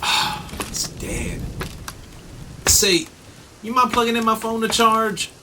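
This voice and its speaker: A man's voice: high-pitched, sing-song, slow pace, distant mic, very noisy, exaggerated voice. A man playing a hapless, forgetful diner customer in a radio play, hesitant, stammering and dryly wry. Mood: bewildered.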